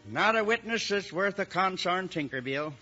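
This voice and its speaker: An actor playing a downhearted old lawman in a western radio drama, with a halting, folksy drawl. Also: sits high, lively intonation, sharp tone, a little hiss or hum. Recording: dull vintage radio recording.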